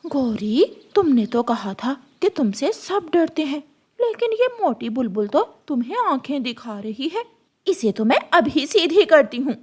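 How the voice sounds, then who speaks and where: Woman as a haughty, high-voiced cartoon bully girl. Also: very animated, unhurried, a little mellow. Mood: taunting. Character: bossy, mean female crow's haughty friend. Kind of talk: children's cartoon story acting.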